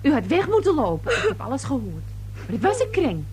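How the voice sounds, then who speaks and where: Blunt, high-pitched, excitable scold. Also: female voice, a little fast, a little hiss or hum. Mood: scornful. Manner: blunt, colloquial. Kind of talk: radio play acting.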